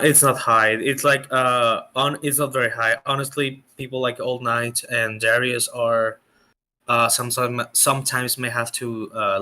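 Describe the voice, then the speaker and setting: Male game master, halting delivery, bright forceful tone. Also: medium pitch. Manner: hesitant, many fillers. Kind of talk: narrating a tabletop game online.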